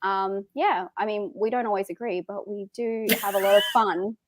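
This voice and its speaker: A woman with an Australian accent hosting a casual film livestream, rambling along in an excitable, giggly way. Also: mid-range voice, moderate pace, a little hiss or hum. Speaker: host of a film talk show.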